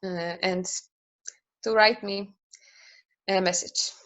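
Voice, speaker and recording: A woman's voice: mid-range voice, steady tone, takes it slowly, fairly bright tone. Hesitant Polish-accented woman. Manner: hesitant, many fillers and restarts, laughs often. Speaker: interview guest, naming specialist. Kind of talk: casual interview conversation.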